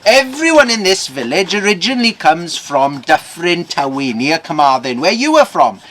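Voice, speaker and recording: Man acting out a lively, inquisitive Welsh blacksmith. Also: on the high side, normal speed, slight background noise. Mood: knowing, animated. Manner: probing, knowing, explanatory. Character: friendly, inquisitive male Welsh village blacksmith.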